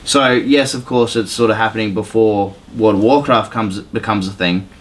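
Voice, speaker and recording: A man talking casually as a podcast panelist, with a somewhat halting, hesitant delivery. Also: fairly low pitch, fairly even intonation. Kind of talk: casual podcast chat about films.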